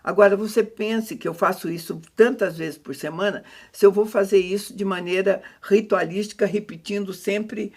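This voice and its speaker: A middle-aged Brazilian woman hosts a relaxed faith talk. Her voice sits in an everyday conversational register. She speaks expressively, often putting questions to her listener. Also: medium pitch, moderate pace, hardly any pauses, breathy edge.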